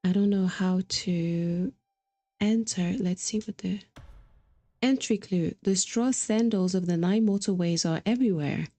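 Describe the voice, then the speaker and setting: A woman's voice: mid-range voice, unhurried, warm tone. A woman livestreaming a video game, chatting casually and reading dialogue aloud in a musing, hesitant manner. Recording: music behind the voice. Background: game music.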